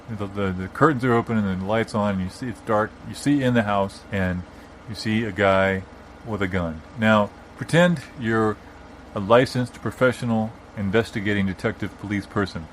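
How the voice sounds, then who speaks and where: A stubborn, argumentative man played by an improv actor rambles through his opinions in halting, repeated phrases. It is improvised audio comedy, recorded informally with some background noise. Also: lowish voice, natural voice. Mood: earnest, rambling. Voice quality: halting, repetitive delivery. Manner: bossy, long-winded, argumentative. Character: stubborn rambling opinionated man arguing against amateur sleuths. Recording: background noise, informal non-studio room.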